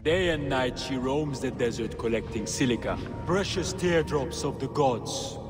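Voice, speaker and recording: An actor playing a sly, joking market trader with a teasing, wheedling manner. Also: male voice, medium pitch, expressive, a little slow, over loud music, lightly acted. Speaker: merchant.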